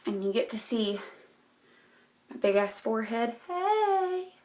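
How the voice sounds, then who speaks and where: A woman's voice: a little high, sing-song, slow pace, a little mellow. A young woman who is losing her voice chats her way through a casual makeup tutorial, with lots of ums and likes. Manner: rambling, chatty, many ums and likes. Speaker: beauty vlogger.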